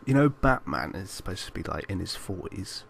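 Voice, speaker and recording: A man's voice: low voice, very animated, a little fast, creaky, fairly bright tone, a little hiss or hum. A man co-hosting a casual film podcast, speaking hesitantly and stumbling over his words at times. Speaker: podcast co-host. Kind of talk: casual banter about films.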